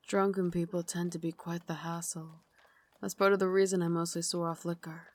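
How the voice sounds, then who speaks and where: A woman playing a refined, tender but tormented Victorian vampire lady in an ASMR roleplay, speaking in a formal, genteel way with breathy asides. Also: lowish voice, level tone, moderate pace, natural voice. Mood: poised, wistful. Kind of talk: ASMR roleplay, dramatic monologue.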